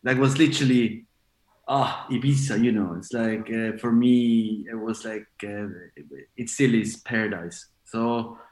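A man of forty, raised in Switzerland, talking in a relaxed, rambling way as a DJ guest, with many fillers and restarts. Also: medium pitch, a little slow, echoey room. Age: 40s. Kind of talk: casual interview chat.

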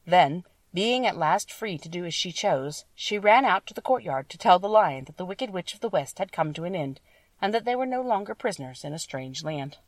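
A woman narrating a children's story from a script in an expressive storytelling manner. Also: lowish voice, normal speed, no echo, recorded from a distance. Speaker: audiobook narrator.

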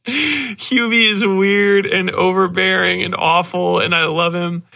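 Bright, high-voiced man podcasting casually. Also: unhurried, vocal fry. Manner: animated, swears freely, excitable. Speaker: podcast host. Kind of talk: casual podcast review, rambling and profane.

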